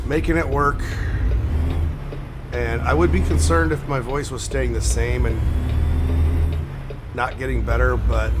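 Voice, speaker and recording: Older guy, voice all hoarse and rough, rambling easy over a roaring truck engine, mid pitch. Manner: casual, rambling, soft-spoken. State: hoarse, voice damaged after illness. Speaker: gaming channel host. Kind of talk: rambling chat over truck-driving gameplay. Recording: loud game truck engine noise behind voice.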